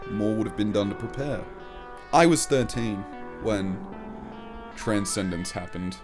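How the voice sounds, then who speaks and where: Jaded fifteen-year-old post-apocalyptic narrator played by a man, comic monologue, very unhurried, earnest, with guitar music behind. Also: fairly low pitch, lightly acted. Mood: wistful, weary. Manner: weary, earnest monologue with rhetorical asides. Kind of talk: dramatic first-person comic narration.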